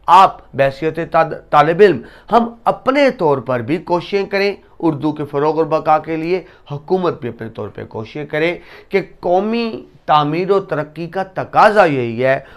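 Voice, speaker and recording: Man teaching, reciting verse with emphasis. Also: a little high. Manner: recites couplets slowly with emphasis. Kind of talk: lecture on essay writing.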